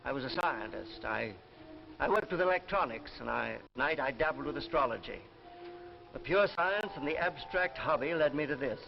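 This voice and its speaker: An actor playing a principled old scientist in a 1950s television drama, speaking in a measured, formal way. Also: male voice, mid-range voice, lively intonation, creaky. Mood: troubled. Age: older. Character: weary, principled old scientist who sees futures. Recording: muffled vintage TV broadcast, music behind.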